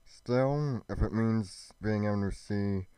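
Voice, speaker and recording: A man playing a cold, scheming goddess who speaks in a hushed, measured and formal way. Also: on the low side, clean tone, dry recording. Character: cold, scheming goddess, proud wife and mother.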